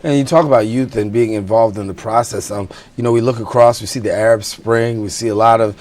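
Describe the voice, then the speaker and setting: A man hosting a TV interview, asking questions in a relaxed way with loose phrasing. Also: fairly low pitch, a little fast. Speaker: TV talk show host.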